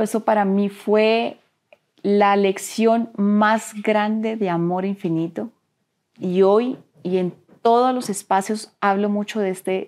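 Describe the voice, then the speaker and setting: A young adult woman from Colombia with a Colombian Spanish accent, telling her personal story as an interview guest in an earnest, halting way, repeating words and dropping to a near-whisper at heavy moments. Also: mid-range voice, darkish tone.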